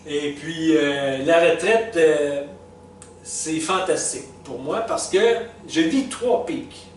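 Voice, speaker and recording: A man in his sixties with a Québécois French accent, thinking back over the stages of his life in a halting, casual way, full of fillers, restarts and small chuckles. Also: on the high side, far from the mic. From Quebec. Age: 60s. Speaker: retired vlogger reflecting on life stages. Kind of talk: casual spoken reflection. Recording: echoey room, speaker well back from the mic, faint hiss.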